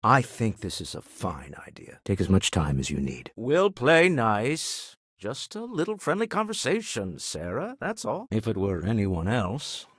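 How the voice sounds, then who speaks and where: An older man playing a wealthy, pragmatic businessman in a video game, speaking in an articulate, wry, measured way. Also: lowish voice, big pitch swings, fairly bright tone, husky, no echo, studio quality, full character voice. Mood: wry, indignant. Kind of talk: video game character dialogue.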